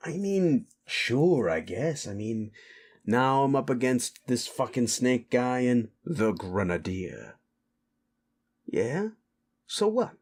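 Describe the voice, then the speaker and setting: A man plays a lone-wolf troublemaker in an audio drama. He is brash and quick to defend himself. His delivery swings widely in pitch, with flippant, cocky bite. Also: very animated, darkish tone, exaggerated voice. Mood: defensive, flippant. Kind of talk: dramatic audio roleplay acting.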